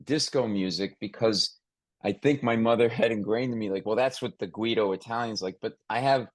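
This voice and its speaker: A man's voice: fairly low pitch, fairly quick, dry recording. A man hosting an interview in a casual, conversational way, rambling and thinking aloud as he goes. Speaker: podcast host interviewing a guest.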